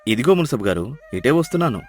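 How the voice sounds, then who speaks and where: A man voicing a clever, humble donkey herder who speaks softly and persuasively in a Telugu moral story. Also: mid-range voice, sing-song, soft background music, pitched below the performer's own voice. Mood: earnest. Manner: soft, measured, gently persuasive.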